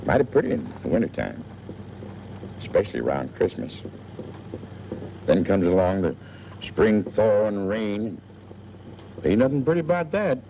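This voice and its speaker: Folksy American newspaperman, very animated intonation, 1940s film drama, dull soundtrack, car engine behind. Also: lowish voice, moderate pace, long pauses. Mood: chatty. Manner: folksy, rambling, clipped sentences. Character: chatty, folksy small-town newspaperman, male, driving at night. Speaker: film actor. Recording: dull old film soundtrack, faint noise.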